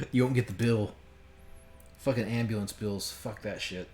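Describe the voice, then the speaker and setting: A man's voice: fairly low pitch, steady tone, a little slow. A man giving casual, joking and sometimes profane commentary as a game streamer. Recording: roomy echo with faint background noise.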